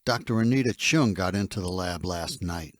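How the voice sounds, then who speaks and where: A man's voice: low voice, moderate pace, no echo, clean studio sound, natural voice. An actor playing a dutiful henchman in an audio drama, reporting in a matter-of-fact, slightly hesitant and deferential way. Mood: careful. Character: dutiful male henchman reporting to his boss.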